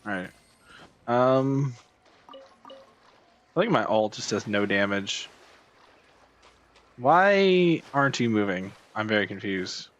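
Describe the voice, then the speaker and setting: A man's voice: fairly low pitch, lively intonation, takes it slowly, pauses often, a bit bright, dull audio. A man giving casual gameplay commentary as a streamer, muttering to himself as he plays. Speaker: video game streamer. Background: game music.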